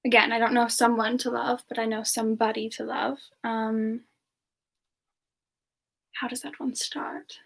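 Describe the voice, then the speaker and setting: Woman acting female demon streamer, high pitch, very unhurried, soft-spoken, playful, giggly, semi-ASMR chat. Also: creaky, full character voice. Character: Frostbyte, a playful, gentle female demon character. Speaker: streamer answering chat and taking song requests.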